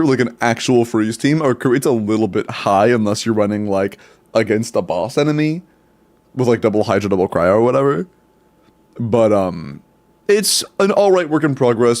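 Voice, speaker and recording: Young male streamer, congested, easygoing running commentary. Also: mid-range voice. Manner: relaxed, chatty, many fillers and laughs. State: stuffy nose. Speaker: streamer giving account advice. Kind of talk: casual live-stream commentary.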